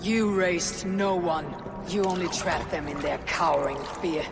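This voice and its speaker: A stern Viking warrior over noisy ambience. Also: female voice, fairly low pitch, measured pace, vocal fry. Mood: defiant. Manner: terse, confident. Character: stern, self-assured Viking warrior, bold and defiant. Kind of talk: dramatic video game acting.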